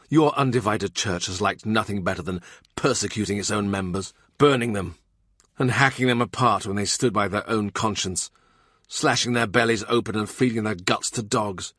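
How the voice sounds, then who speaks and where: A man reading an audiobook voices a royal minister who argues in a forceful, heated, blunt way. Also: lowish voice, normal speed, breathy edge, no room sound, clean studio sound.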